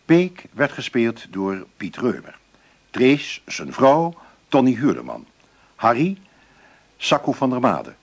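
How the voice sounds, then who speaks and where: A man's voice: mid-range voice, big pitch swings, slow pace, gravelly, long pauses, slightly rough. A man announcing the cast of a radio play in a scripted style.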